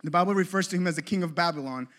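A man from Colombia with a fairly high voice gives a conference workshop talk. He speaks casually, jokes with the room, and stumbles through fillers and restarts. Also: very fast, fairly bright tone. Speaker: creative ministry leader speaking at a conference.

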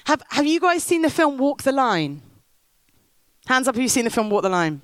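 A woman's voice: high-pitched, very animated, moderate pace, dry recording. A woman preaching on stage, telling a story and rousing the crowd. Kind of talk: sermon with storytelling. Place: stage in a big top tent.

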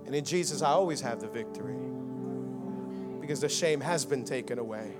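A young man from Miami preaching a Christmas sermon, moving between rousing calls and quiet personal talk. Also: mid-range voice, brisk, loud music behind the voice. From United States (Miami). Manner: rousing preaching, swinging from loud and fervent to quiet and intimate. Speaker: pastor.

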